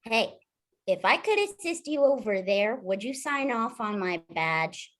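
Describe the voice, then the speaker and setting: A woman playing an eager, chatty young boy scout in an animated film script read-through, earnest and childlike. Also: mid-range voice, a little slow.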